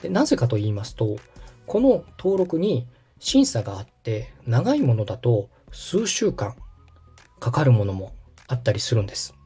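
Mellow, husky podcast tutorial host. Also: mid-range voice, huge pitch swings, normal speed, faint music behind. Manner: explanatory, checks the listener's understanding. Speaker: host and radio director giving a tutorial.

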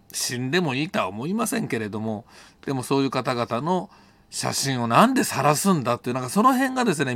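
A middle-aged man from Japan hosts a solo storytelling monologue, reflective and unscripted, pausing to think aloud and correct himself. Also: mid-range voice, a bit bright. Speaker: host telling personal stories on an audio show.

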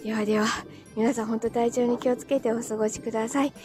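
High-voiced, giggly woman chatting on a livestream. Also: steady tone, quick pace, music in the background. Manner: giggly, often breaking into small laughs. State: recovering from illness, tired and a little short of breath. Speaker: live streamer and voice actor. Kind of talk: casual live-stream chat, answering viewers' comments.

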